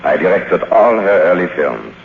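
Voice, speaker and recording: Very deep, solemn manservant. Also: male voice, very animated, normal speed, gravelly, exaggerated voice. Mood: solemn, protective. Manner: formal, solemn. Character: grave, devoted, protective older manservant. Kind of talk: 1940s-50s radio drama acting. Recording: muffled, faintly noisy old radio broadcast.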